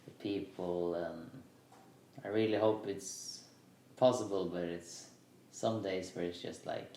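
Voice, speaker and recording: A man with a very deep, gravelly voice speaks as a podcast guest, earnest and soft-spoken. His tone is dark and relaxed. He sounds like he is in an echoey room with a faint background hum. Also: slow pace. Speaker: guest, ocean sailor. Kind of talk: casual conversation.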